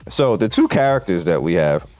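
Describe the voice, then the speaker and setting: Low-pitched man commenting over game music. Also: very animated, a little fast, a little mellow. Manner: rambling, conversational. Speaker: gaming video host. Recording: game music playing behind the voice. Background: video game music.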